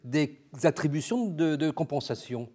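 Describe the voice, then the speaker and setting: A man hosting an informational interview, phrasing his questions haltingly and repeating words as he goes. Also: fairly high pitch, animated, dark tone. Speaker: interviewer and host of an information segment. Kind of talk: interview on local government finance.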